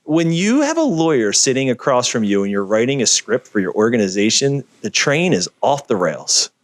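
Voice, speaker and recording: A man being interviewed over a video call, talking in an energetic, emphatic, storytelling way. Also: medium pitch, moderate pace, no echo. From Philadelphia area. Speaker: guest, video producer.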